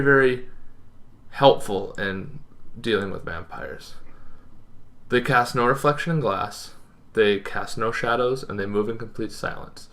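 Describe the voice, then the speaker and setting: A young man hosting an informal explainer to camera, speaking emphatically with halting, dramatic pauses. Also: mid-range voice, sharp tone. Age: young adult. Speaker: host of a tabletop gaming series. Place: bedroom, indoors.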